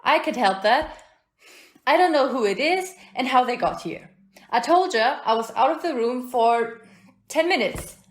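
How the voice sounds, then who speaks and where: A woman's voice: high-pitched, expressive, measured pace, roomy sound. A woman playing a feisty, unflappable single hotel resident in an audio play, speaking in a brusque, plain-spoken, indignant way. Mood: defensive, indignant.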